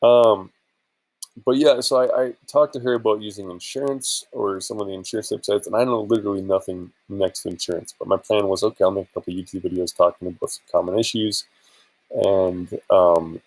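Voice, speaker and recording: A man's voice: low voice, fairly even intonation, a little fast, darkish tone, no room sound, low-quality recording. A man chatting casually in an informal, rambling and hesitant way for a screen-share vlog, sounding a bit tired. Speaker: vlogger and affiliate marketer.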